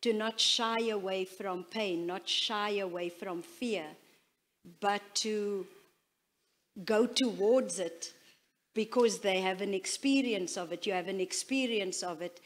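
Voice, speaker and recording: Thoughtful woman interviewer, mid-pitched voice. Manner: measured, paraphrases and reflects back. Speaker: interviewer, moderator.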